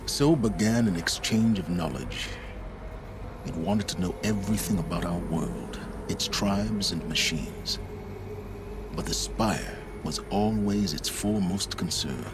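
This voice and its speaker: An actor playing a learned, calculating older man in a video game, speaking in a measured, self-assured and deliberate way. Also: low-pitched, background noise. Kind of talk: dramatic video game acting. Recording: music behind the voice.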